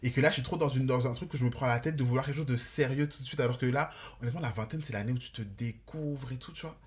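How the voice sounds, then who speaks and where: A man's voice: mid-range voice, racing. A man in his twenties chatting casually as a podcast guest, rambling and slangy, dropping in English words. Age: 20s. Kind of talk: casual chat.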